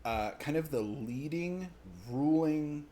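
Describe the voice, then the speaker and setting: A man narrating a tabletop role-playing game as game master, in halting, conversational descriptions. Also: on the high side, expressive, mellow.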